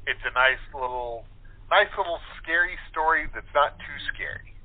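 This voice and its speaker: A man speaking as an interview guest, explaining his thoughts in a halting, thoughtful, casual way. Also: on the high side. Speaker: guest, playwright and actor. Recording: tinny telephone line.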